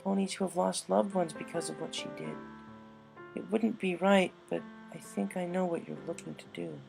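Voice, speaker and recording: Lady doing a sweet motherly middle-aged shop pony in a story, gentle, pitched up, lots of sympathy. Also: moderate pace, creaky, over music. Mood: gentle, sympathetic. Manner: gentle, sympathetic, uses endearments.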